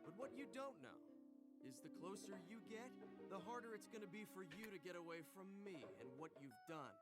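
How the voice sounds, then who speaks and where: Cocky, theatrical young investigator, high-pitched and animated. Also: male voice, a little fast. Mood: taunting. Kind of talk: video game cutscene acting. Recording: loud music behind the dialogue.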